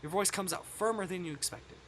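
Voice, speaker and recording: A man's voice: high voice, brisk, a bit bright, slight background noise. A man live-streaming a visual novel, reading it aloud expressively and breaking into casual, sweary, exclamatory reactions.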